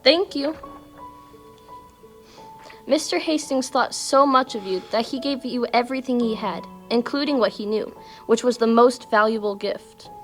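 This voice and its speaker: A woman's voice: on the high side, measured pace, fairly bright tone, soft background music. A woman playing a tender, reassuring mother of a young boy in a children's puppet show. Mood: pleasantly surprised.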